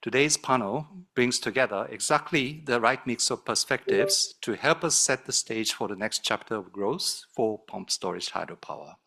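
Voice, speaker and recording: A man gives formal opening remarks at a conference, reading from a prepared text. His voice is bright and forceful at a middling pitch, and he ends by handing the floor to the moderator. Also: normal speed, gravelly, hardly any pauses. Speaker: opening speaker.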